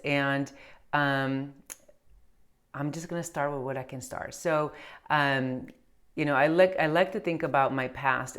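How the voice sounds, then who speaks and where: Low-pitched woman in her 40s, chatty, lots of ums and restarts. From Romania. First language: Romanian. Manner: chatty, many fillers and restarts. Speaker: accountant and business owner. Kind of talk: casual chat to camera.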